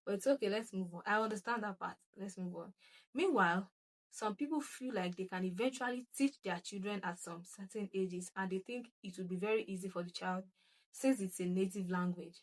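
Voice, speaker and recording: A young Nigerian woman with a Nigerian accent hosts her own channel and talks straight to her viewers. She reads comments aloud and thinks them through in a chatty, reflective way, often restarting her sentences and breaking into laughter. Her voice sits at an ordinary pitch for a woman. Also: a little fast, low-quality recording. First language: Yoruba. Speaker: channel host. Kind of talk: casual talk to camera, reading comments.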